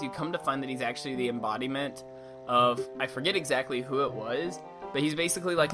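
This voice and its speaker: A young man with a slight lisp giving casual, rambling commentary over a video game, swearing freely and now and then breaking into silly high voices. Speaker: let's play commentator. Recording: game music loud behind voice.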